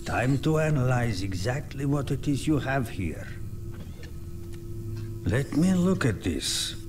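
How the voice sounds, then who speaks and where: An actor playing an eccentric old male scientist in a video game, halting and muttering to himself with dark fascination. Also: medium pitch, very animated, over music. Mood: curious, absorbed. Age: older. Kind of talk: dramatic video game character acting.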